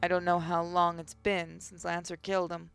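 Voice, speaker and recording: Visual novel read-aloud, narrow pitch range, measured dramatic delivery, music underneath. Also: completely flat delivery, creaky. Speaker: gaming channel narrator. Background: game music.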